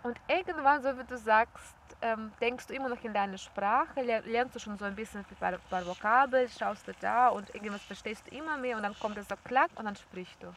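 Young woman, a bit high-pitched, lively, chatty, light outdoor noise behind. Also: a little fast, few pauses. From former Soviet Union. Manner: lively, chatty storytelling with laughs. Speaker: interviewee. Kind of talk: casual conversation. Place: outdoors, birds nearby.

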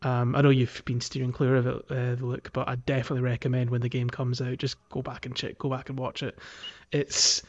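A man co-hosting a podcast in casual chat, speaking in a hesitant, halting way. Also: medium pitch, monotone. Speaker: podcast co-host. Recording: dull lo-fi microphone audio.

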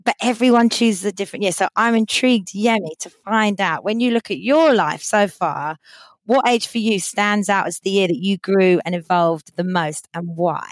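A woman's voice: mid-range voice, normal speed, no echo. A woman hosting an interview, asking questions and often cheering her guest on with short affirmations. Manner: encouraging, frequent affirming interjections.

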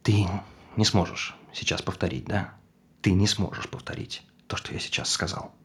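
An actor playing a nagging, quietly menacing husband in stage dialogue, halting and insistent. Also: male voice, sits low, lively intonation, breathy edge, heavily acted. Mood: cold, insistent.

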